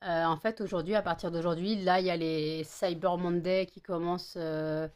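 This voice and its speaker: A woman's voice: on the low side, steady tone, normal speed, a little mellow, clean tone. A woman, chatting casually in a home vlog, tired and a bit stuffy with a cold, rambling with many fillers and self-corrections. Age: 30s. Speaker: vlogger.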